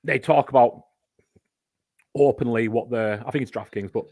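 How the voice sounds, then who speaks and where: A man's voice: mid-range voice, moderate pace, darkish tone, no room sound. A man, a podcast guest, explaining a topic in casual chat, talking at length and giving examples.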